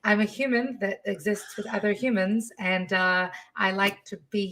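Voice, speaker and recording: Podcast guest, New Zealand woman, bright and lively delivery on a video call. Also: mid-range voice, normal speed. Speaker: guest, musician and coach. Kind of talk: casual interview chat.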